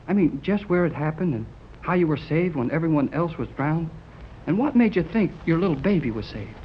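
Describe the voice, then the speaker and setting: Actor doing a keen boyish young radio hand, high and bouncy, old muffled recording. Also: male voice, lively intonation, a little fast, slight background noise, natural voice. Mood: eager. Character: eager young male radio helper, excitable. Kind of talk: 1930s radio acting.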